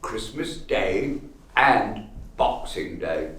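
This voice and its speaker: A miserly grumpy old uncle for a radio play, swoops around a lot, big roomy echo. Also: male voice, lowish voice, lively intonation, gravelly, a bit bright, full character voice. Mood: smug. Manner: grumpy, theatrical, drawn-out delivery. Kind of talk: golden-age radio play acting. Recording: echoey room, distant mic, faint noise.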